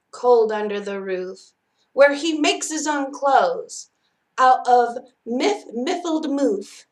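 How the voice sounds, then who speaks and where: A woman reading a children's picture book aloud in an expressive, measured storytelling manner. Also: on the high side.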